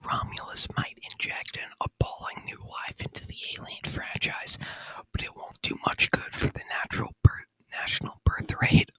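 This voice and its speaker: Male ASMR host, fully whispered review delivery, bright airy hiss, intimate close-miked dry sound. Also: normal speed, no room sound. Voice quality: breathy unvoiced whisper. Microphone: close microphone.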